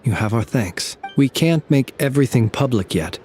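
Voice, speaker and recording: An actor playing a composed, courteous soldier in a fantasy game, speaking formally and articulately with dry wit. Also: male voice, medium pitch, lively intonation, moderate pace, a little mellow, faint music behind, natural voice. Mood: grave, explanatory. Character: composed, courteous male soldier of a night-watch order, wry. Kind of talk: scripted fantasy game dialogue.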